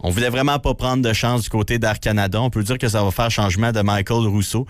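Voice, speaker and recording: A man's voice: on the low side, steady tone, fast, no echo. A man with a Quebec French accent reads traffic and news items on the radio, matter-of-fact, with slangy remarks between items. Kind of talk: radio news bulletin, read briskly with casual asides.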